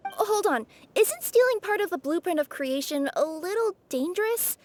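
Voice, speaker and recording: A woman's voice: very high voice, lively intonation, moderate pace. An actress playing a practical, skeptical young woman in a video game, with music behind her. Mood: wary. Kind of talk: video game character acting.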